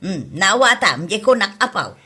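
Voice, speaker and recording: A woman's voice: medium pitch, sing-song, moderate pace, pushed and bright, husky, heavily acted. A woman playing a suspicious, fretful mother in a family comedy drama.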